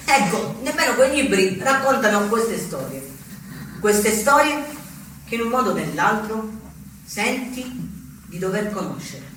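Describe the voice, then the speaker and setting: An actor playing a reflective narrator in a deliberate theatrical monologue, repeating words between dramatic pauses. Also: male voice, sits high, big pitch swings, pushed and bright, heavily acted. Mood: reflective, emphatic. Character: reflective male narrator born in the late seventies. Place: theatre stage, recorded from a distance. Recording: echoey, distant stage recording with faint noise.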